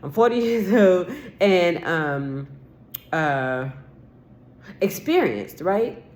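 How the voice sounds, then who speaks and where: A woman's voice: lowish voice, lively intonation, very slow, a little mellow, a little hiss or hum. A woman in her forties talking straight to camera in a rambling vlog, aggrieved and insistent, swearing casually and restarting her sentences often. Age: 40s. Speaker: vlogger. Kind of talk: rambling solo vlog monologue.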